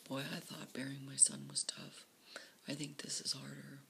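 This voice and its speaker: A woman whispering into her camera for a personal video diary, halting and repeating her words. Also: rumbling low, completely flat delivery, creaky, a bit bright, slight background noise. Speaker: vlogger. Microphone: camera facing her.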